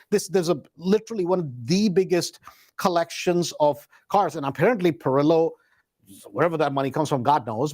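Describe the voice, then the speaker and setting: A man with a high-pitched voice spins a personal anecdote in a casual, conversational style. He leans on emphatic repetition and slang, and acts out the back-and-forth dialogue as he goes. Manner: animated retelling with acted dialogue, emphatic repetition. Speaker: host.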